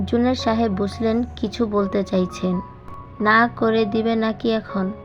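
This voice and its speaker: A woman's voice: fairly high pitch, steady tone, a little slow. Female storyteller, scripted dramatic narration, slightly lo-fi sound, music bed underneath. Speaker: audiobook narrator. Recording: slightly band-limited, music bed behind. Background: background music.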